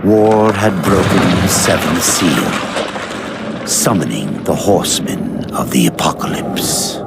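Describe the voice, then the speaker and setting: An actor playing a solemn, ominous storyteller, giving portentous epic narration for a video game. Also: male voice, low-pitched, lively intonation, slow, vocal fry, heavy background noise, full character voice. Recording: loud music behind the voice.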